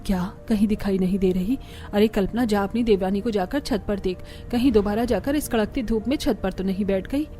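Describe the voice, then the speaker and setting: A woman's voice: medium pitch, brisk, hardly any pauses, dark tone, soft background music, natural voice. A woman voicing a scolding, exasperated middle-aged mother-in-law in a Hindi cartoon family story. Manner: nagging, exclamatory.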